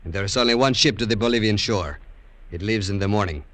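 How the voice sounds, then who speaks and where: An actor playing a stern, dutiful Peruvian police commandant, formal and emphatic, in 1940s radio drama style. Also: male voice, lowish voice, fast talker, fairly bright tone, no echo, close to an everyday voice. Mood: determined. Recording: vintage 1940s radio recording, music behind.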